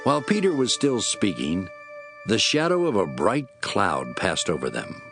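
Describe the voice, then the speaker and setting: Guy narrating scripture from a script, lowish voice, a bit animated, music playing behind. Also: unhurried.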